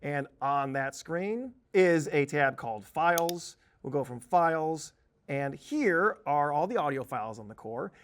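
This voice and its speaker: Informal male trainer with a higher voice. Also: lively intonation, unhurried. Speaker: software trainer. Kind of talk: informal tutorial walkthrough.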